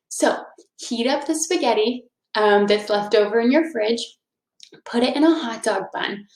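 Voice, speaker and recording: A woman hosting a read-aloud for children, speaking in an encouraging, deliberate storytelling manner. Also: a little high, vocal fry. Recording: echoey, reverberant room.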